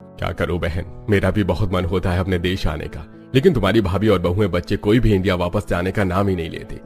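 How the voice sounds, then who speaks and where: A man acts out a homesick, stern aging patriarch in a family cartoon. His voice is low and deep, with music playing underneath. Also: steady tone, brisk, a little mellow. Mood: wistful. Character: homesick, stern aging father, head of the household.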